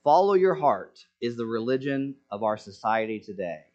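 Male pastor, mid-pitched, earnest sermon delivery with rhetorical questions, slightly muffled lo-fi recording. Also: sing-song, normal speed, a little mellow. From Senegal.

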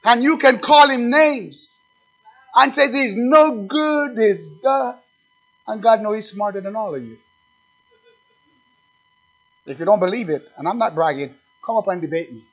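A man preaching a sermon as pastor, swinging from quiet asides to loud, slow, emphatic scripture reading and questions put straight to his congregation. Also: sits high, faint music behind. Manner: reads scripture slowly and with emphasis. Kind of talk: fiery sermon. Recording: dull, lo-fi recording.

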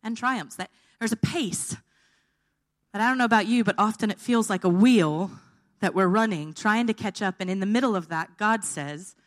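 A woman's voice: medium pitch, moderate pace. A woman preaching an informal sermon, her voice tired and held back, often checking in with her listeners.

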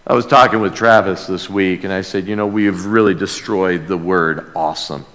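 A man's voice: sits low, fairly even intonation, moderate pace, a bit bright. A man preaching a sermon, speaking emphatically with repeated key words and rhetorical questions. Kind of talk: expository sermon. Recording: hall with long reverb.

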